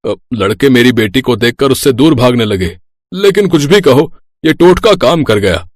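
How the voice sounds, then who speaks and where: A middle-aged father-in-law played by a man in a cartoon family tale. He is earnest and apologetic as he explains. His mid-pitched voice is recorded very dry. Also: expressive, normal speed, no room sound, natural voice. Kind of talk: Hindi cartoon story voice acting.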